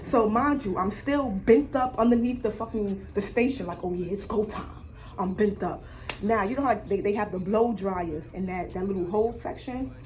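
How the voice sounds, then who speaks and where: A woman's voice: mid-range voice, moderate pace, a little mellow, a little hiss or hum. A young adult woman telling a slangy, casual storytime as a vlogger, emphatic, dramatic and freely swearing, repeating phrases for effect. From United States.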